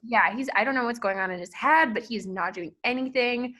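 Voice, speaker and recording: A woman's voice: on the high side, a little fast, pushed and bright. A woman co-hosting an improvised mock true-crime podcast, casual and hedging in her delivery. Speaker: podcast co-host.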